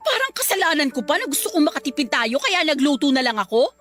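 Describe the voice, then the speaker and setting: Fed-up Filipino wife and mother, high voice, radio drama delivery, faint music. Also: big pitch swings, fast talker, a bit bright. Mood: sarcastic, reproachful. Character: fed-up, sarcastic wife and caring young mother.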